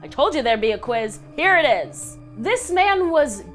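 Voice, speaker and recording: A woman's voice: sits high, big pitch swings, a little slow, fairly bright tone, soft background music. A woman with an American accent hosting a scripted comedic countdown of creepy stories, theatrical, sardonic and slangy. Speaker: host of a web countdown show.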